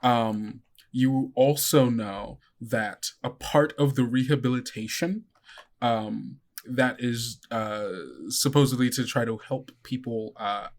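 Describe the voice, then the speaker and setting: A man's voice: medium pitch, steady tone, takes it slowly. A man narrating a tabletop game as game master, casual and conversational.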